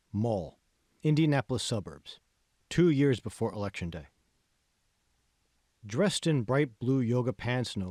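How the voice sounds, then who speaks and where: A man's voice: mid-range voice, a little mellow, dry recording, studio quality. A man reading scripted fiction as the narrator of an audiobook. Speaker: author reading own audiobook.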